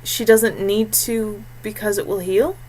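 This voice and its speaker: A woman's voice: mid-range voice, steady tone, measured pace, a little mellow, husky, rough recording. A woman voicing a wounded, bewildered young man in a fantasy audiobook, exasperated and full of questions. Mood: questioning. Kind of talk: audiobook character acting.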